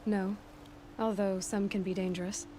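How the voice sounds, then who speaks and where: An actress playing a composed, direct young tracker heroine in a video game, speaking in a matter-of-fact, guarded way. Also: female voice, mid-range voice, flat delivery, moderate pace, warm tone, slight background noise, lightly acted. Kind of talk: video game character acting.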